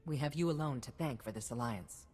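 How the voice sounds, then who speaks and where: An actress playing a shrewd, stern head of state in a science-fiction video game, with a formal, cutting, authoritative manner. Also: female voice, a bass voice, fairly quick, mellow, breathy edge. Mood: approving, resolute. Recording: reverberant hall, music behind.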